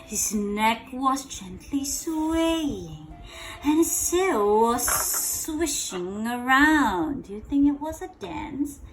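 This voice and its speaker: A woman reading a picture book aloud to a child in an animated way, pausing to ask questions and repeating phrases. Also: high voice, faint music behind, rough recording. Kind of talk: interactive bedtime read-aloud.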